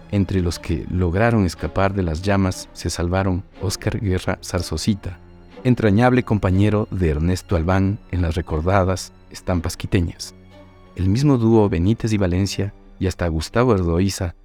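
A man narrates a scripted documentary story. His pitch is low, and faint music plays behind him. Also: darkish tone. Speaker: guest narrator.

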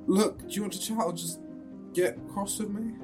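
A man's voice: sits high, steady tone, normal speed, lightly acted. Man playing cautious young friend, music underneath. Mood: soothing, weary. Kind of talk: radio drama dialogue.

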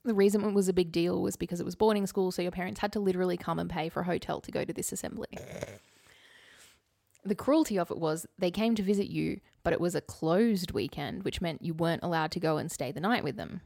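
A woman's voice: on the low side, fast talker, creaky, mellow, no echo, clean studio sound. A woman around thirty co-hosting a podcast, telling self-deprecating anecdotes in a casual, chatty way. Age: late 20s to early 30s. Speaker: podcast co-host.

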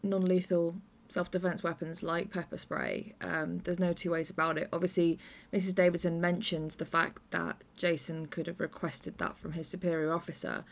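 A woman hosting a podcast, discussing drama in a measured, analytical way. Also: lowish voice, little pitch movement. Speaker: podcast host. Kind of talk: discussing an audio play.

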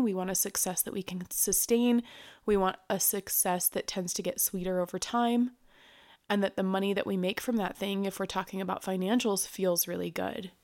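A woman co-hosting a podcast in casual chat, sounding nasal and stuffy from a cold as she explains things in a steady, reflective way. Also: medium pitch. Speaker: podcast co-host.

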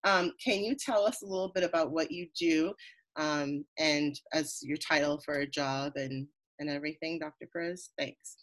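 A woman from Queens, New York, a behavioral therapist who hosts the interview, talking in a warm and effusive way. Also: deep voice, moderate pace, poor audio. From Queens, New York, USA. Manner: warm, effusive, laughs often. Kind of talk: casual interview.

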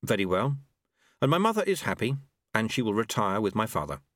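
A man voicing a calm, quietly assured young male apprentice monk. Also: on the low side, no echo, studio quality. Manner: calm, measured, quietly firm.

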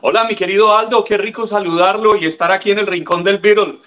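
A Colombian man in his fifties speaks in a slightly raised register, measured and articulate as he answers each question. His audio comes through dull and muffled. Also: high-pitched, fairly even intonation, fairly bright tone. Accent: Colombian, from Tolima. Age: 50s. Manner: articulate, measured, formal address. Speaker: guest journalist. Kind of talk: reflective interview answers.

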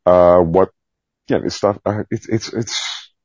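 A man with a strikingly deep, husky voice talks candidly as a guest in a casual interview. He rambles in a self-deprecating, lightly profane way. The recording is somewhat dull and muffled. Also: steady tone, slow, a little mellow, no echo. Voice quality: low, gravelly-husky voice. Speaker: podcast guest, Navy veteran.

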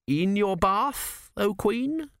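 Man doing a fussy, high-pitched royal high priest. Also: sing-song, normal speed, fairly bright tone, dry recording, studio quality. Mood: weak, bewildered. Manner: formal, deferential, plaintive. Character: fussy male royal high priest, obsequious, ritual-bound, flustered.

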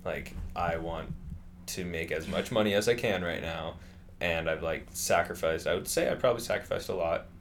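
A young man in his twenties talks in a loose, rambling way, full of fillers and restarts. His voice sits very low. Faint background noise runs under the chat. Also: normal speed. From California. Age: 20s. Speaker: interviewee. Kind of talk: casual conversation.

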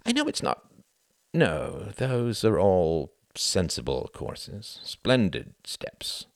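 A man voicing a cool, bored, dry-witted gentleman who speaks languidly. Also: deep voice, wildly swooping pitch, takes it slowly, gravelly, dry recording, exaggerated voice. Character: cool, wry male former professor's assistant.

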